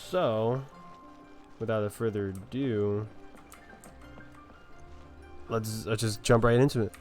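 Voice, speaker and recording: A man giving casual gameplay commentary as a streamer, halting and often repeating his words. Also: lowish voice, fairly even intonation, darkish tone, faint music behind. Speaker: game streamer.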